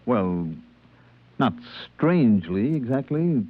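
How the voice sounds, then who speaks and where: Tinny vintage-radio spaceship purser. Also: male voice, medium pitch, big pitch swings, slow pace, a little hiss or hum. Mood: guarded. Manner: colloquial, hesitant in dialogue. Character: easygoing spaceship purser recounting his voyages. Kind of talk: 1950s radio drama acting and narration. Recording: tinny vintage radio broadcast, music behind.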